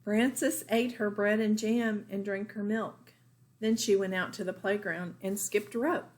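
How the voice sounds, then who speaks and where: A woman's voice: medium pitch, measured pace. A woman teacher reading a picture book aloud expressively for young children. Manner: expressive, pointing out pictures to listeners.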